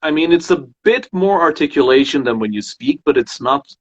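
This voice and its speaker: A man hosting a casual conversation about singing, a voice teacher who talks haltingly with many fillers and restarts. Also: on the high side, big pitch swings. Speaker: host and voice teacher. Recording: muffled lo-fi sound.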